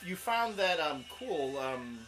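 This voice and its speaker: A man's voice: on the high side, big pitch swings, takes it slowly, over loud music. A man, co-hosting a casual comics chat show, rambling with plenty of fillers. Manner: rambling, laughs often, many fillers. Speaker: co-host.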